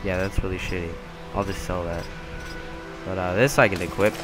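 Nasal excitable young gamer guy. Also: low voice, normal speed. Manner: excitable, swears a lot. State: has a cold. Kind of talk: casual let's play commentary. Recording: loud game music behind.

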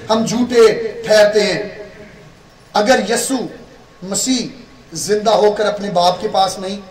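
A young man from Pakistan preaching a sermon as pastor, with a Pakistani Urdu accent, asking the congregation rhetorical questions and stretching his words for emphasis. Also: high voice. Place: small church room with red curtain, indoors. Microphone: stand mic at pulpit. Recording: echoey room, voice from far off.

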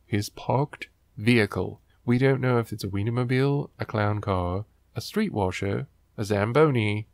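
A man's voice: lowish voice, moderate pace, hardly any pauses, a little mellow, no echo, clean studio sound. A man hosting a book riffing show, reading aloud and commenting in a sarcastic, wry, exasperated way.

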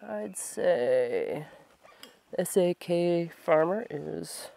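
Slow, muttering woman at an outdoor camp. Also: fairly low pitch, animated, vocal fry, dark tone. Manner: slow, thinking aloud, muttering to herself. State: congested from a long cold. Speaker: bushcraft vlogger. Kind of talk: casual talk while working. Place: outdoors at a grassy campsite under a tarp. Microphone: camera on a tripod a few meters away.

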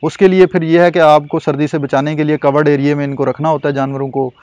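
A man in his thirties with a Pakistani Urdu accent, calmly explaining goat breeding and care at an outdoor goat farm, with frequent fillers. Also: fairly high pitch, dry recording, muffled recording. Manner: measured and informative, with frequent fillers. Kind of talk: explanatory on-camera interview. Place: outdoors at a covered goat shed.

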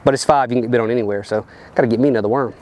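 A man's voice: medium pitch, very fast, a little mellow. A man, casually explaining fishing gear outdoors on a lapel mic, loose and rambling with lots of fillers. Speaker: professional bass angler. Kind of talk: casual fishing tips to camera. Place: outdoors on a riverbank and boat deck.

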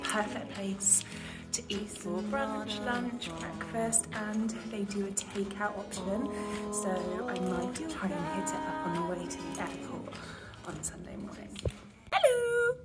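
Woman, 30s, casual travel vlogger, handheld phone sound, music bed loud. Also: mid-range voice. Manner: chatty and upbeat, with fillers. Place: hotel pools, casino halls and a hotel room. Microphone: phone at arm's length.

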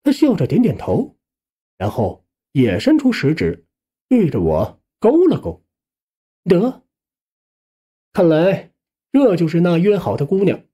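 A man's voice: on the high side, huge pitch swings, a little slow, pauses often, warm tone, husky. A man narrating a first-person suspense audiobook in a colloquial storytelling manner.

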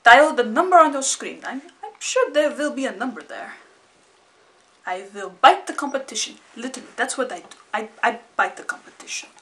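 A woman playing a solemn female vampire lawyer in improvised comedy, speaking in a slow, drawn-out, theatrical way. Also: a little high, animated, a bit bright.

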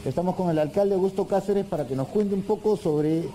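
A man's voice: sits high, a little fast, warm tone, low-quality recording. A man with a Peruvian accent reporting live from outdoors in a conversational news style. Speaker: field reporter. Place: open seafront park walkway. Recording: outdoor ambient noise.

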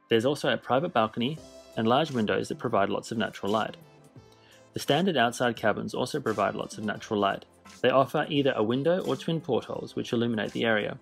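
A man narrating a scripted cruise ship tour in a measured, informative way. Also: mid-range voice, vocal fry. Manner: measured, informative, list-style delivery.

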